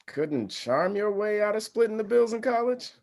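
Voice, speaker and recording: An actor playing an awkward, self-deprecating, good-natured young groom in a comedy table read, casual and dry. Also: male voice, high-pitched, lively intonation, moderate pace, gravelly, a little mellow. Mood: playful.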